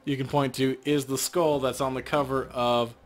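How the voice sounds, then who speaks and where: Mid-pitched man narrating a game. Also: little pitch movement, moderate pace. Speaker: let's play co-host. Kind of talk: narrating game text with casual commentary.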